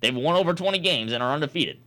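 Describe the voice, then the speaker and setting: Male sports debate host, forceful and bright, argumentative, with very lively intonation. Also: fairly high pitch, fast. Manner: emphatic, argumentative. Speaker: sports talk show host. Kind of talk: opinionated sports talk debate.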